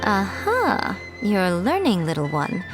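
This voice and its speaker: A woman's voice: mid-range voice, wildly swooping pitch, slow. A woman playing a crafty, elegant spirit familiar in a video game, with a playful, condescending and theatrical manner. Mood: knowing, explanatory. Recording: music playing behind the voice.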